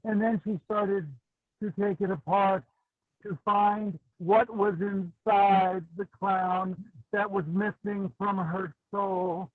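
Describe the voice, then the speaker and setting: Slow, high-voiced man on a tinny line. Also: steady tone, no room sound. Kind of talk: slow dramatic improvised storytelling. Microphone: video call. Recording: thin phone-like video call audio.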